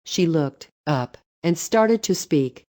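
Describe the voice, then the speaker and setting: Woman, story reader, low voice, warm dry sound, steady read. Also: takes it slowly, no echo. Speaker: narrator. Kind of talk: reading online stories aloud.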